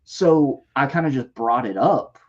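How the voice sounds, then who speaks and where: A man hosts a weekly toy livestream, thanking members by name and joking with his co-hosts. His voice is husky and going a little hoarse, with a lively, swinging pitch. The stream audio sounds dull and lo-fi. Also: a little slow. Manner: lively, jokey shout-outs to members. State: losing his voice. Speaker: livestream host. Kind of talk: casual livestream chat.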